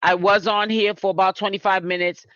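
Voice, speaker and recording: Chatty live-stream host from Boston, man, high register, dull lo-fi audio. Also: level tone, fairly quick, a bit bright, dry recording. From Boston, Massachusetts. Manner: chatty, talks straight to viewers in chat. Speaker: YouTube commentary host. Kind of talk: casual live-stream commentary.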